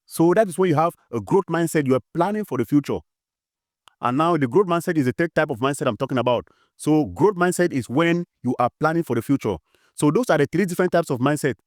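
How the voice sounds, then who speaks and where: Male motivational speaker, off the cuff, emphatic and repetitive, slightly high-pitched, dry recording. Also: no echo. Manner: emphatic and repetitive, with frequent fillers. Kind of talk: unscripted motivational talk.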